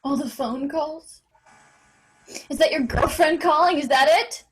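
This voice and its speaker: A woman playing a frightened, desperate mother in a screenplay read, with a breathy voice and anxious delivery. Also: sits high, low-quality recording. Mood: hurt, accusing. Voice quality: breathy, husky. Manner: anxious, halting. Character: frightened, desperate mother searching for her kidnapped daughter.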